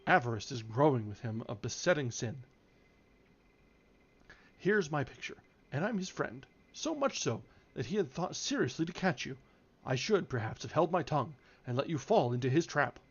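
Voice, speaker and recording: A man voicing an imperious, sharp-tongued married woman from a novel, speaking in haughty, emphatic declamation. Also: medium pitch, animated, normal speed, breathy edge, dull audio. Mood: emphatic warning.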